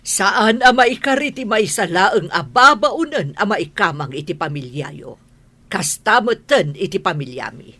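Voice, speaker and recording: A woman's voice: medium pitch, lively intonation, a little slow, fairly bright tone. An actress with a Filipino accent playing a stern, class-proud middle-aged mother in a radio drama, scolding and domineering. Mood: stern, warning.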